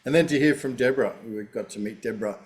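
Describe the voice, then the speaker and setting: A man greeting the hosts on an online video call, speaking warmly and hesitantly with many fillers. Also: medium pitch, fast talker. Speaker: event organizer. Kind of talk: casual video call chat.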